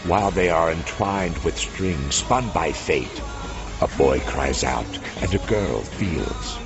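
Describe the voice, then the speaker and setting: A brooding dark fantasy narrator speaks extremely low, with gravel in every phrase. He is solemn, slow and portentous, reading scripted narration over clear music in slightly muffled audio. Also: lively intonation, exaggerated voice. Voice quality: deep, gravelly.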